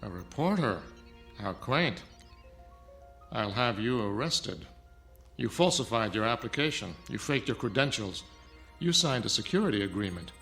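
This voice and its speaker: Haughty male scientist, bright forceful voice, condescending lectures, music underneath, radio play. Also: lowish voice, big pitch swings, normal speed, vocal fry, long pauses, heavily acted. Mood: indignant. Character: haughty, defensive male scientist running a secret lab. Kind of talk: radio drama acting.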